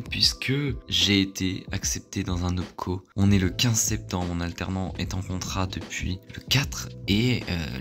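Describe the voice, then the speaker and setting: A young man vlogging casually about his work week, chatty and loose with fillers and swearing, nursing a head cold. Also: on the low side. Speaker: vlogger, entrepreneur. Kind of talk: casual vlog chat.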